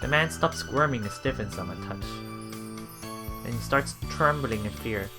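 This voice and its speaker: A man with a mid-range voice reads a visual novel aloud as a let's play host. He is halting, often stumbling and repeating words, and slips into casual asides. Music plays clearly behind him. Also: medium pitch.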